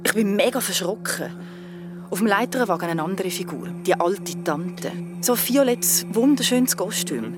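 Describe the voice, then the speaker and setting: A woman's voice: mid-range voice, expressive, normal speed, over music, close to an everyday voice. Actress as an agitated Swiss German young woman. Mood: tense storytelling. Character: agitated young woman recounting a childhood horror. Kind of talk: naturalistic radio play storytelling.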